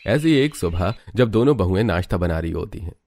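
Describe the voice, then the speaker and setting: A man with a low voice reads scripted story narration. He sets out the scenes of a family tale as the narrator. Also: animated, a little fast, a little mellow, dry recording.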